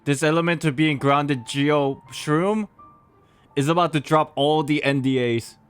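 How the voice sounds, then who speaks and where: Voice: mid-range voice, a little slow, smooth, soft background music. A streamer giving casual, playful commentary on a game playthrough, improvising along with the story.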